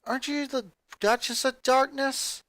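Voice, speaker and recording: A reader voicing a hot-tempered, brash thirteen-year-old athletic girl in a story, high-strung and pushy. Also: fairly even intonation, a little slow, a bit bright, no room sound. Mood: snarky.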